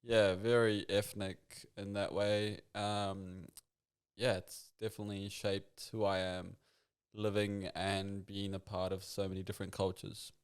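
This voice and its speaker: Smooth low-voiced joking man. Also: flat delivery, unhurried. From New Zealand. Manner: joking, teasing, laughs often. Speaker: podcast guest, comedian. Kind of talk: casual podcast chat.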